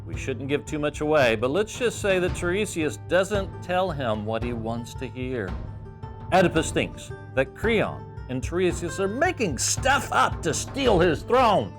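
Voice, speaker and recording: A man narrating as a theatrical storyteller, playful, with dramatic pauses and comic asides. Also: a little high, very animated. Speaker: narrator introducing the play. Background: music.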